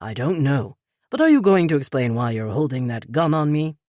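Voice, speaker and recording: Woman voicing a calm older british gentleman, clipped accent, low voice, dry sound. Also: moderate pace, no echo. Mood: calm, polite. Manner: clipped and polite.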